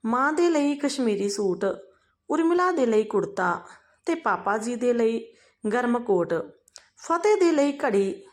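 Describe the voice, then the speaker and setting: A woman reading a scripted, emotional family story in an expressive, dramatized way. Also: a little high, takes it slowly.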